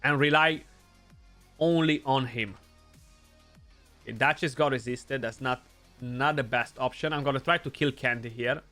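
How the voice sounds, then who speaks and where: A man's voice: medium pitch, normal speed, long pauses, bright, forceful tone, soft background music. A young man with a Romanian accent giving casual gameplay commentary, thinking aloud and often adding "you know". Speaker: gaming YouTuber.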